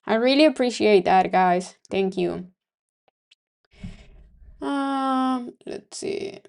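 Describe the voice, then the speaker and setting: A woman's voice: a little high, very slow, vocal fry, darkish tone, smooth. A woman from Venezuela with a Venezuelan Spanish accent, hosting a live trading stream in casual, think-aloud commentary full of ums. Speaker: livestream trading host.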